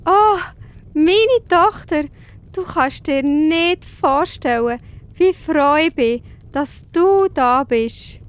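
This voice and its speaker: A woman playing an emotional, tearful mother in a children's Bible audio play, with drawn-out lamenting and a Swiss German accent. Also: high voice, lively intonation, full character voice. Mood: overwhelmed relief. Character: wailing grief-stricken mother of a young girl.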